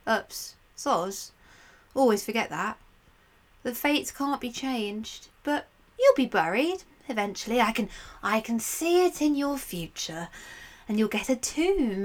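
A woman's voice: high-pitched, pitched above the performer's own voice. A woman voicing a cheerful female seer who talks in casual slang in a told myth. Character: breezy, slangy female seer guiding the hero.

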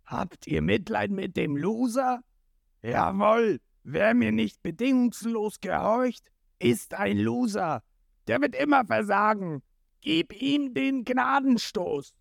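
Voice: medium pitch, very animated, slow pace, vocal fry, no room sound, studio quality, voice pushed up, full character voice. Narrator putting on a sneering, domineering pirate captain. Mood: gloating. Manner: menacing, sneering. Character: cruel, domineering male pirate captain, scornful villain.

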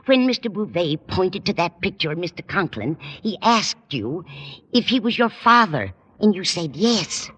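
A woman's voice: lowish voice, very animated, normal speed, a bit bright, husky, heavily acted. An actress playing a fussy, well-meaning, motherly woman with a frank, theatrical manner in an old-time radio comedy. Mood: frank, concerned. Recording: faintly noisy old radio recording.

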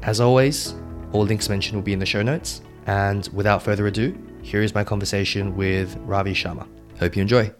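A man's voice: fairly low pitch, steady tone, fairly quick, over music. A man hosting a podcast interview, introducing his guest and asking upbeat, friendly questions. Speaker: podcast host.

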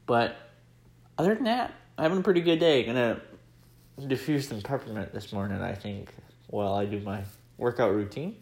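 An American man talks as the host of a casual personal podcast. His delivery is chatty and rambling, with a medium-pitched voice. It sounds like an informal audio diary recorded at home. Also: moderate pace, a little mellow. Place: indoors at home, room with laminate floor.

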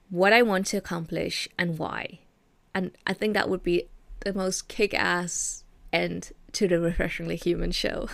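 South African woman, young adult, podcast host, low gravelly voice, easygoing self-deprecating storyteller. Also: no room sound. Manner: chatty, self-deprecating, frequent fillers and laughs. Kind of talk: personal storytelling monologue.

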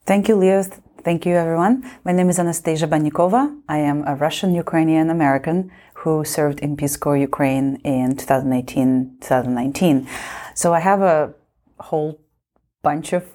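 Low-voiced woman explaining earnestly. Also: moderate pace, mellow. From Kazakhstan. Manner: earnest, explanatory, laughs lightly. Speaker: panelist. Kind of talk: panel discussion.

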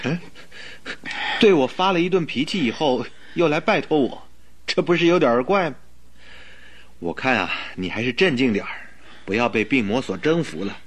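An actor playing an exasperated but loyal doctor in a radio drama, with a protesting, questioning manner. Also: male voice, medium pitch, lively intonation, moderate pace, pauses often, natural voice. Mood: exasperated, concerned. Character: exasperated, loyal male doctor, the detective's friend.

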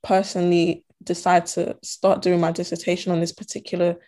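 A woman's voice: fairly low pitch, level tone, fairly quick, mellow. A young woman from Ghana and Togo talking earnestly in a casual conversation, with many fillers and restarts. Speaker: student guest. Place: diaspora.

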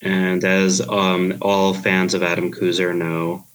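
A man from Queens with a New York accent, co-hosting a podcast in a sleepy, deadpan monotone. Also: sits low, slow, low-quality recording. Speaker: podcast co-host. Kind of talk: casual chat.

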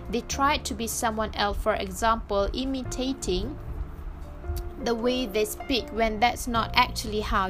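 A woman whose first language is Malay, speaking English slowly in a casual reflection with frequent pauses and restarts. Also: fairly high pitch. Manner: slow, searching, many restarts. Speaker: vlogger practicing English.

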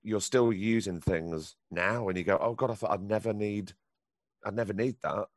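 A man with a very deep voice, a professional actor answering a youth theatre group's questions with candid, encouraging advice. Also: fairly even intonation, moderate pace, no room sound, clean studio sound. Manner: candid, encouraging advice with frequent ums and restarts.

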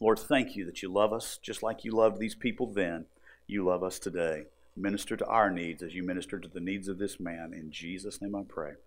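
A man preaching a sermon, moving between folksy, conversational storytelling and Bible teaching, with dramatic pauses. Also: sits low, fairly even intonation.

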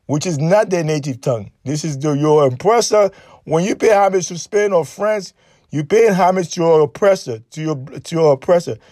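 A man who grew up in Haiti delivers a fervent political monologue, hammering his points with repetition. His voice sits a little high for a man. The recording is dry and close, with no room around it. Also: dark tone, no echo. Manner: emphatic, repetitive, preachy. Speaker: online commentator.

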